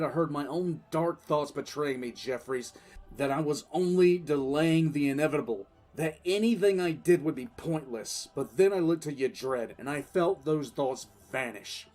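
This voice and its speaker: A man playing a weary, resolute military commissar who recounts his war in deliberate, emphatic dramatic narration. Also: on the high side, a little mellow. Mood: brooding. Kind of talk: dramatic first-person audiodrama narration. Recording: music bed under the voice.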